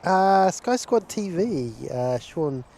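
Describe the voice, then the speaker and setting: A man's voice: sits high, sing-song, slow pace, darkish tone. A man with a New Zealand accent chatting casually and enthusiastically as a live plane-spotting host. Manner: enthusiastic, chuckly, reads out viewer messages. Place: outdoors near a runway and seafront. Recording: clear outdoor vehicle and ambient noise.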